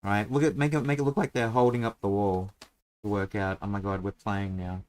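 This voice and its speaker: A man's voice: on the low side, steady tone, a little fast, darkish tone. A man hosting a casual chat while drawing a dungeon map, talking in a playful, rambling, self-mocking way.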